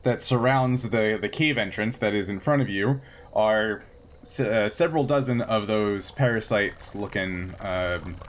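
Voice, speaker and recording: A man improvising narration as a tabletop game master, piecing descriptions together haltingly. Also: fairly low pitch, slight background noise.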